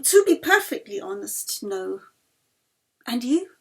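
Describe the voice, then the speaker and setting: A woman's voice: a little high, sing-song, slow, vocal fry, slightly rough, full character voice. A woman voicing an uneasy woman violinist in an audiobook, emphatic and protesting as she politely resists. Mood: frank.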